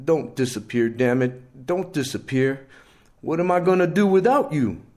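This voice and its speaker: Nervous, halting teen homeboy, read by a man, close to the narrator's own voice, storybook reading. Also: fairly high pitch, expressive, darkish tone, voice pushed up, lightly acted. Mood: pleading. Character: loyal teenage homeboy, nervous and tender.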